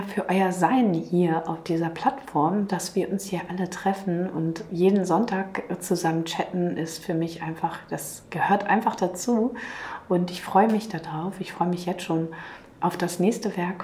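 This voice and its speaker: A hoarse woman hosting a painting channel, talking gently and half-whispering in a calm, chatty way. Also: fairly low pitch. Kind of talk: calm chatty vlog talk.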